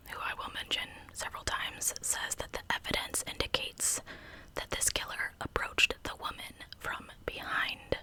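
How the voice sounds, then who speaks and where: Woman whispering ASMR narration. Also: rough recording. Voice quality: breathy, unvoiced whisper. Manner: hushed storytelling with informal asides. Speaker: true crime ASMR host.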